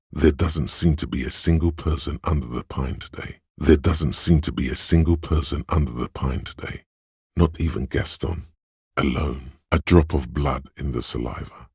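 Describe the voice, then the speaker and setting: A middle-aged man voicing a disembodied male inner sense of sight in a video game, calm and watchful, describing things in a measured, matter-of-fact way. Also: very deep voice, breathy edge, no room sound, clean studio sound, full character voice. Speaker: voice actor. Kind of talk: scripted video game voice line.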